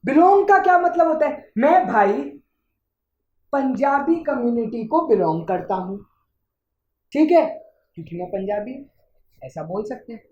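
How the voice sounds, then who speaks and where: Slow, lively woman teacher. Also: on the high side. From India. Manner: patient, repeats checks like "ठीक है", stretches English terms. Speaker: maths teacher. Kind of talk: Hindi-English classroom explanation. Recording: echoey room, slightly muffled.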